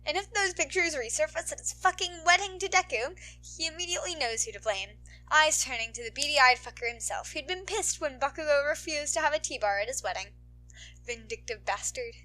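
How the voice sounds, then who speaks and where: Woman, high-pitched, bright, playful, informal, amateur fan-fiction narrator. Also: a little fast. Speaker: podfic narrator. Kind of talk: animated story narration and casual outro chat.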